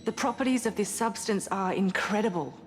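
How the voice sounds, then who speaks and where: An intense, suspicious woman scientist played by an actress in a sci-fi game. Her voice sits at a middle pitch, earnest and conspiratorial. It rings in a long reverb, with music underneath. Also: a little fast, lightly acted. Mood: suspicious, urgent. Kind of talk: cinematic video game acting.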